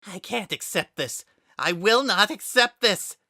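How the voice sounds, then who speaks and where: A man voicing a desperate, furious dark spirit child in a dramatic story reading, protesting frantically. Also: high voice, animated, a little slow, no room sound, studio quality, voice pushed up, exaggerated voice. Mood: defiant fury. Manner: frantic, shouting protests with cracking intensity.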